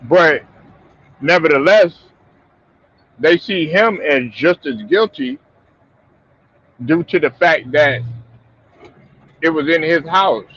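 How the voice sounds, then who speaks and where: A man's voice: on the high side, expressive, slow, long pauses, fairly bright tone, breathy edge. A man hosting a casual sports talk stream, stuffed up and rambling, with many restarts and repeated words. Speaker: sports talk show host. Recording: muffled lo-fi stream audio with faint noise.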